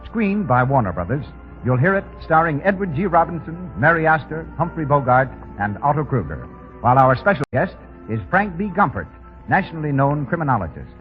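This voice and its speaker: A male radio announcer in the 1930s style, polished and persuasive. His pitch swings widely from line to line. The sound is a tinny old broadcast recording with music around it. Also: very animated, fairly quick. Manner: polished, persuasive sales delivery.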